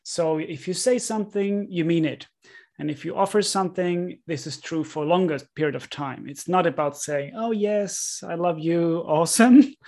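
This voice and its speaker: A man from Finland whose first language is Swedish, a guest author telling relaxed, wry anecdotes in English. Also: on the high side, normal speed. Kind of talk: casual interview chat.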